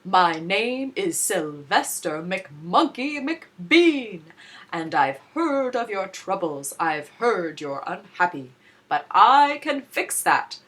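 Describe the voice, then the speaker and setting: A woman voicing a slick, persuasive male salesman creature from a storybook, in a clear, keen showman's pitch. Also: very animated, slow pace, fairly bright tone. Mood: eager pitching.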